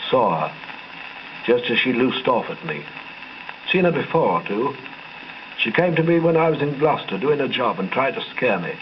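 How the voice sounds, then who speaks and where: A rough Cockney crook, played by a man reading a story, grumbles in a low, hoarse, urgent voice. It comes from a muffled 1920s gramophone record with some noise. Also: big pitch swings, moderate pace, pauses often, lightly acted. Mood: complaining. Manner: urgent, complaining.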